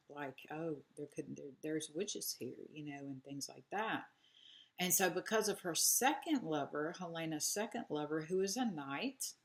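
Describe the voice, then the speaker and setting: A young woman talks at an unhurried, drawn-out pace, her voice sitting a little on the low side. She tells history casually while doing makeup, rambling with plenty of asides. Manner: chatty, rambling, many asides with "like" and "you know". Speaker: beauty vlogger telling history.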